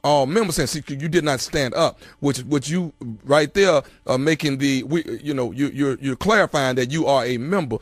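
A man playing a pompous, pushy church money collector in a deadpan prank call, insistent and preachy, often stammering. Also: mid-range voice, moderate pace, few pauses, no room sound, lightly acted. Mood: officious. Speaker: prank caller on a radio show.

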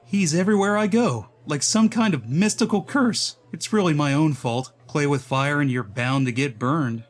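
A man's voice: on the high side, moderate pace, big-room sound, close to an everyday voice. A man voicing a downcast, haunted comic-book drifter who speaks earnestly and confessionally. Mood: regretful. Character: downcast, haunted drifter mourning a lost friend. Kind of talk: comic-book dub voice acting. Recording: voice under loud music. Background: loud music.

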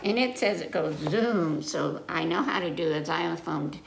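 A woman's voice: low voice, expressive, normal speed, vocal fry. An older woman, in her sixties or older, leading a children's story time, reading aloud and explaining things simply with repetition, in a teacherly way. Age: 60s or older. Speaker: library story time reader. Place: indoor living room in front of a fireplace. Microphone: camera a few feet away. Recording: echoey room, noticeable noise, clicks.

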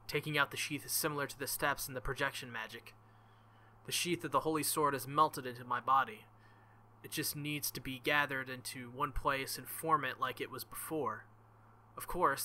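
A man's voice: medium pitch, pushed and bright, slight background noise. A young man reading a visual novel aloud as a let's play host, with joking, crude asides between passages.

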